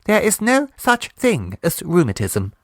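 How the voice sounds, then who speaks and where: A man's voice: high-pitched, wildly swooping pitch, unhurried, dry recording, pitched above the performer's own voice, heavily acted. A man voicing an earnest, defiant lady neighbour in a storybook, self-righteous and insistent.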